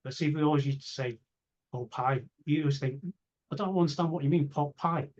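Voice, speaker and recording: A man's voice: fairly high pitch, brisk, darkish tone. A man interviewing casually, with hesitant, rambling and repetitive phrasing. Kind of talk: casual chat. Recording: dull lo-fi audio.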